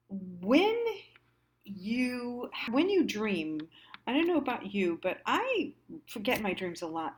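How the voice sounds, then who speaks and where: A woman hosting a storytelling series, thinking aloud in a casual, halting, conversational way with trailing thoughts. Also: medium pitch. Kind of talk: casual reflective monologue.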